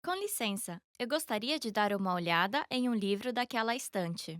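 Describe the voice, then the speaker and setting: A woman reads a short listening dialogue with a lively, rising and falling voice. She is recorded dry and close, speaking clearly. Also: on the high side, normal speed, no echo.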